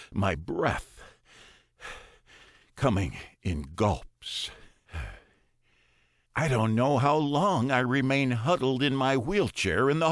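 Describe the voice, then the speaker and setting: A man narrates first-person fiction in a mid-range voice with a slow, measured pace. His pitch moves widely as he dramatizes the prose. The recording is close and dry, like a studio audiobook. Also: a bit bright, no room sound.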